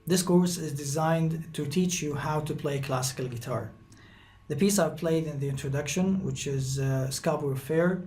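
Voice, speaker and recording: A man in his thirties speaks English with an Egyptian accent, his voice sitting a touch higher than most men's. He introduces his guitar course in a friendly, hesitant way, often stopping on "uh" and "um" to find his words. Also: normal speed, darkish tone. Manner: hesitant, many fillers. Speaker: guitar teacher.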